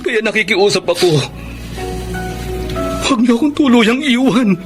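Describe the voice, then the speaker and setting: Repentant husband, high voice, humble pleading, radio drama, music behind. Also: male voice, lively intonation, measured pace. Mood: pleading, remorseful. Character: remorseful husband begging his wife to come back.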